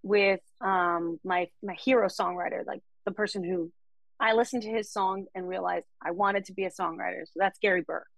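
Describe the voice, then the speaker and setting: A woman's voice: mid-range voice, fairly even intonation, moderate pace, vocal fry, no echo. A woman from Denmark, a country singer-songwriter, chatting enthusiastically about artists she has opened for in a casual interview.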